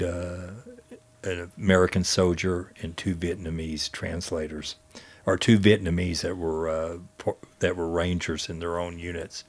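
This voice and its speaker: A man telling stories conversationally as a guest, speaking in a halting way with frequent pauses. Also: low-pitched. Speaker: guest storyteller and history teacher. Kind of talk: conversational storytelling.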